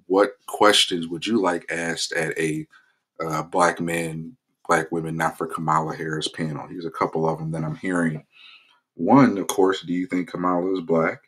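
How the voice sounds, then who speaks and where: A man hosting his own political commentary channel, talking loosely in a casual vlog promo with lots of fillers and restarts. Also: sits low. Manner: relaxed, rambling with many fillers.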